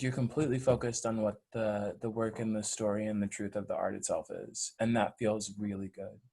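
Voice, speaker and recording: Male actor, slightly low register, thoughtful and deliberate, reflective interview delivery. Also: a little mellow. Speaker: stage actor.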